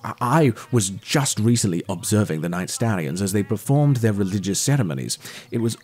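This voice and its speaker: An actor playing an earnest, awkward detective who speaks in a polite, wordy, formal way in an audio drama. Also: male voice, fairly low pitch, animated, fairly quick, darkish tone. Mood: awkward, curious.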